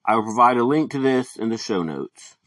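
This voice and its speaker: A man hosting a podcast, giving a scripted introduction in a measured, deliberate way. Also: mid-range voice, lively intonation, fairly bright tone, dry recording. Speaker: podcast host.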